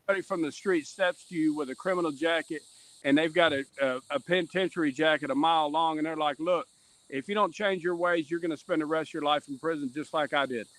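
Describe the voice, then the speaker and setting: A man's voice: on the high side, fast talker, a bit bright, poor audio. A man speaking earnestly as a podcast guest and mentor, with emphatic, repetitive, streetwise phrasing. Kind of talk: earnest personal testimony in conversation.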